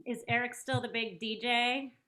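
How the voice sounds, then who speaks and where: A woman's voice: fairly high pitch, fairly even intonation, normal speed, bright, forceful tone. A woman acting a friendly, teasing career woman and old flame in a sitcom table read, casual and playful. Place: video call at a table read.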